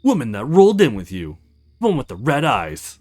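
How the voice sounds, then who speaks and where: Man acting a grim male hunter, scornful, visual novel game, animated delivery. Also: mid-range voice, moderate pace, husky, soft background music. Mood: brusque.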